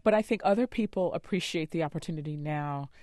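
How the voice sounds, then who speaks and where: A woman news interviewer asking measured, probing questions in a broadcast interview from a studio. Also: deep voice, a little mellow, no room sound.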